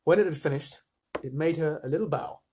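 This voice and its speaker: A man reading a children's story aloud as an expressive storyteller, with casual asides to listeners. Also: a little high, fairly quick, slight background noise.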